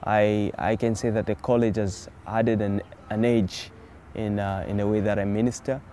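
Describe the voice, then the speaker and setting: A man who ministers as a pastor, answering interview questions outdoors in a steady, reflective way. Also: on the low side, moderate pace, a little hiss or hum. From Zambia. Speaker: pastor and student. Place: outdoors by a wall. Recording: clear music underneath.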